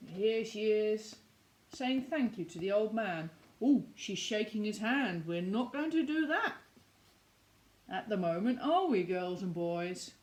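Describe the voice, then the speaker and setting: A woman reading a picture book aloud to young children, expressive, with questions and asides to her listeners. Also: medium pitch. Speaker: children's story reader.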